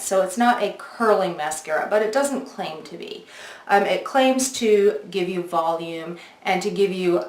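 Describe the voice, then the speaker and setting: A woman in her forties giving a casual, explanatory product review, speaking directly to her viewers in a conversational way. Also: mid-range voice. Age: 40s. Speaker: beauty video host. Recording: echoey untreated room.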